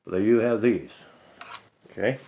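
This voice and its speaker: A man's voice: lowish voice, moderate pace, vocal fry, phone-quality sound. A man casually explaining an antenna build at home while he works, chatting to himself and the radio as he goes. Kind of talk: casual how-to narration. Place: home, indoors at a table.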